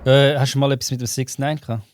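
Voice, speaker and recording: A man's voice: medium pitch, little pitch movement, quick pace, dry recording. Blunt Swiss German podcast host man. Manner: blunt, direct questions. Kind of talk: casual podcast interview.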